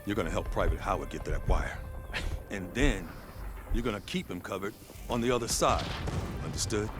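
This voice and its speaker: A man playing a stern, commanding American infantry sergeant in a war game, gruff and measured, with an American accent. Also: on the low side, natural voice. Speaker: game character. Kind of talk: cinematic war game acting. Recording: loud music over the dialogue.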